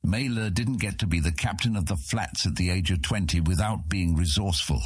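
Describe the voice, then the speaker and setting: Deep, husky male audiobook narrator. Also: fairly quick, no room sound, studio quality. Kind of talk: scripted fantasy narration.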